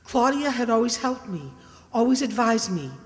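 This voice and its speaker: A woman playing a frightened, haunted wife turning undead in a radio mystery play, with a halting, fretful delivery. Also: a little high, big pitch swings. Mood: haunted, confiding. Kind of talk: old-time radio mystery acting. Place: stage in a community hall, stand microphone. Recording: echoey hall, music underneath.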